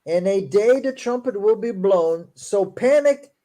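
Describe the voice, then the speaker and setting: A man who speaks English as a second language, with Portuguese as his first, and a fairly high but mellow voice. He reads text slowly and breaks in with sarcastic asides. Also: animated. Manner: reads verses aloud slowly, then comments in a quick, sarcastic tone. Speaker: show host. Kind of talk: casual critical discussion.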